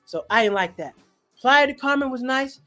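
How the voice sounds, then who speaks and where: A comedian hosting a casual livestream chat, telling stories in an animated, slangy way. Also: high-pitched, normal speed, soft background music. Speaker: host and comedian.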